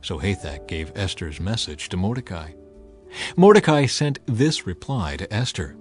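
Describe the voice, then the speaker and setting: A man giving a dramatized scripted narration of scripture, with solemn, deliberate phrasing. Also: low voice, big pitch swings. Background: faint music.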